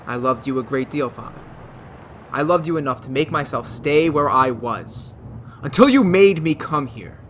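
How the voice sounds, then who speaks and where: A man playing a soft-spoken undead son. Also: mid-range voice, expressive, normal speed, a little hiss or hum, natural voice. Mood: ominous. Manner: quiet, soft, ominous and regretful. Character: undead young man returned as wolf, eerie calm. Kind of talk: radio drama acting.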